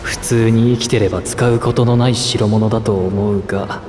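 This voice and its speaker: An actor voicing a calm, laconic male adventurer who narrates past events in a matter-of-fact way. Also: fairly low pitch, moderate pace, roomy sound, in a large hall, faint music behind, lightly acted. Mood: reflective. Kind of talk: reflective game narration.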